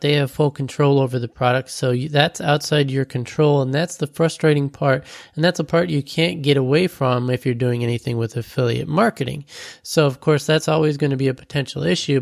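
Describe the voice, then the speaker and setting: A man's voice: medium pitch, vocal fry, no echo, clean studio sound. A man hosting a solo podcast, sounding nasal from a head cold, talking in an earnest, conversational way and explaining things step by step. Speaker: podcast host.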